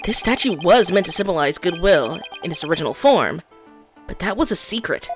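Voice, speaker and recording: A woman's voice: fairly low pitch, sing-song, brisk, over music, voice pushed down. A woman voicing an earnest young male defense attorney who presses a witness. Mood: pressing. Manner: pressing, accusing.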